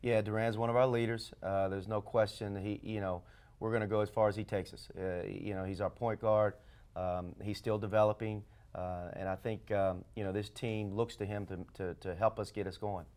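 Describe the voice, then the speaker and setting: Low-voiced middle-aged coach in a studio. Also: male voice, little pitch movement, a little mellow, smooth. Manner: measured, frequent fillers and restarts. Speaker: head basketball coach, show guest. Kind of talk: casual studio interview. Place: TV studio set. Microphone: lapel mic.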